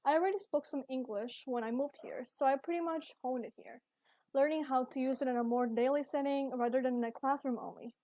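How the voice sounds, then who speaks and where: A young woman from Ecuador, a Spanish speaker with an Ecuadorian accent, giving an earnest, measured talk about her own life as a university student. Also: high-pitched, warm tone. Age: young adult. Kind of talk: scripted personal video essay.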